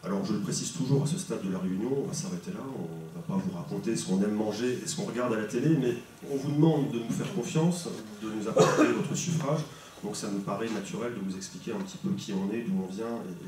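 A man in his thirties gives an earnest campaign talk, with some hesitation. His voice is very deep and mellow. It is heard from afar in a strongly echoing room with faint hum. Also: quick pace, recorded from a distance. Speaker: candidate at a public meeting. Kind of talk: campaign speech at a public meeting. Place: small meeting room, indoors. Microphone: handheld mic, filmed by a far camera.

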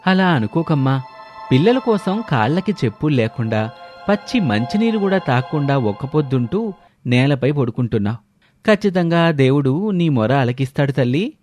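A man's voice: medium pitch, very animated, normal speed, a little mellow, soft background music, lightly acted. A man voicing a kindly, consoling temple priest with a fatherly manner in a narrated story. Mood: reassuring.

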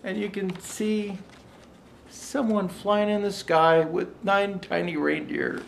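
An older man playing a jolly old Santa Claus, gently reading a rhyming storybook aloud with chatty asides. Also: sits high, level tone, slow pace, gravelly, a little hiss or hum, full character voice. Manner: gentle storyteller, showing pictures to listeners. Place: indoor room set with fireplace and tree. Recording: echoey room.

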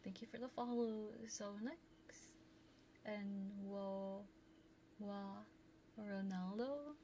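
Slow, whispery woman doing ASMR, noisy background. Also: mid-range voice. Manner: soothing, often whispering, playful teasing. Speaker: ASMR streamer hosting a live stream. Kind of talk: ASMR, whispers and soft chat to viewers. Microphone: close binaural ear microphone.

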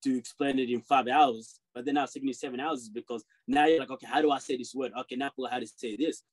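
A man talks fast on a home video call, co-hosting a relaxed podcast chat. He presses his argument and keeps checking that the others follow. His voice sits at an ordinary middle pitch. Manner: fast, insistent, arguing his point with repeated "you know what I'm saying". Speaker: podcast co-host. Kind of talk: casual group discussion.

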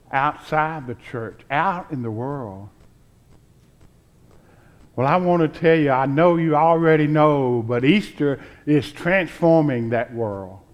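An American man in his sixties preaching a sermon as a pastor, deliberately, in short phrases with frequent pauses. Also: on the high side. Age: 60s. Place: church sanctuary, at a pulpit before a choir.

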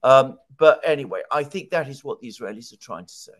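Guy in his 60s doing political commentary, chatty but emphatic, asks himself questions then answers, medium pitch. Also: expressive, a little fast. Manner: explanatory, emphatic, poses rhetorical questions. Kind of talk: conversational news analysis. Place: home study with bookshelves. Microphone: headset on video call.

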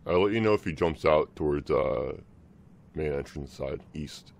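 A man's voice: a bass voice, moderate pace, gravelly, darkish tone. A man playing a team shooter, giving casual callouts to teammates. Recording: noisy game voice chat, gameplay sounds. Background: video game sounds.

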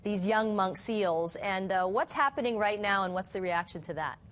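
A woman anchors a live morning newscast from a TV studio, speaking into a lapel mic. Her delivery is crisp and polished, with a middle pitch and smooth transitions between stories. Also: normal speed. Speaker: news anchor. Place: TV news studio.

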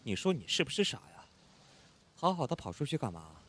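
A man acting a lively, caring, hot-tempered young roommate. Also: mid-range voice, a little fast, husky. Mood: exasperated. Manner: expressive, exclamatory. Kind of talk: Chinese audio drama acting.

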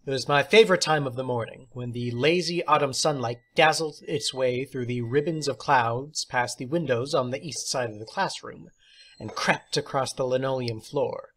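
A man's voice: medium pitch, moderate pace, a bit bright. A man reading a short story aloud as audiobook narration. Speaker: audiobook reader.

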